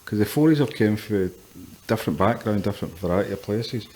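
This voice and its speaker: A man's voice: on the low side, quick pace, warm tone, poor audio. A man co-hosting a podcast, thoughtful and storytelling in a casual group discussion. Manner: storytelling, thoughtful, laughs easily. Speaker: podcast co-host.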